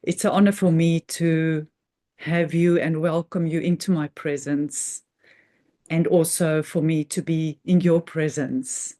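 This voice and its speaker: A woman hosting a guided meditation, speaking earnestly and reverently in short, halting phrases with measured pauses in an unscripted spiritual talk. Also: low-pitched, steady tone, dark tone.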